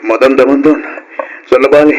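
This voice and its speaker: An actor playing a hardworking, respectful farmer in a radio drama, with an Ilocano accent. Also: male voice, mid-range voice, steady tone, normal speed, close to an everyday voice, low-quality recording. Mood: casual. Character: hardworking, respectful grown farmer, easygoing son and husband. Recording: old radio drama, faint noise.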